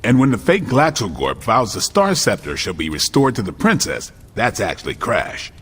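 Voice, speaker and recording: A man's voice: lowish voice, huge pitch swings, moderate pace, fairly bright tone, husky, heavily acted. A man acting as a wry, thoughtful hero who leads a group in a video game cutscene, speaking conversationally. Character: wry, thoughtful male hero leading a book club.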